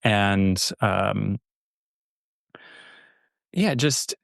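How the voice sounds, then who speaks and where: A man's voice: on the low side, drawn-out words, vocal fry, no echo, clean studio sound. A young man from California, a podcast guest, speaking reflectively with many fillers and restarts. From California, USA. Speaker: podcast guest, holistic health coach. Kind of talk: casual interview conversation.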